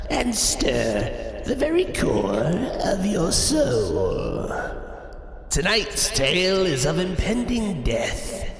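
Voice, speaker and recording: A man's voice: medium pitch, vocal fry. A man hosting a horror anthology, narrating in a dramatic, grandiose, theatrical manner. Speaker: host narrator. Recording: long echoing reverb, loud music.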